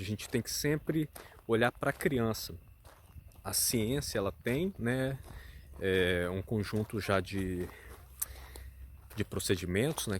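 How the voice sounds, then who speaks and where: A man's voice: fairly low pitch, slight background noise. A man with a Brazilian Portuguese accent, a father talking earnestly and thoughtfully, searching for his words as he explains. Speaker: father and vlogger. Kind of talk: casual explanatory talk.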